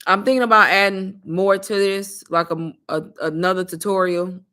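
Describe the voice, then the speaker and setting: Chatty woman pitching on a livestream. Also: steady tone, normal speed, bright, forceful tone. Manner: chatty, repeats herself, addresses viewers as "y'all". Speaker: online marketing coach hosting a livestream. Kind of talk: casual livestream sales pitch and Q&A.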